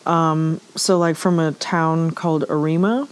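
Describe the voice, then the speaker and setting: Low-voiced Trinidadian woman, chatty. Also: fairly even intonation, a little slow, clear, resonant tone. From Trinidad and Tobago. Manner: chatty, slangy, sassy asides. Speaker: podcast guest. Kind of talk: casual chat.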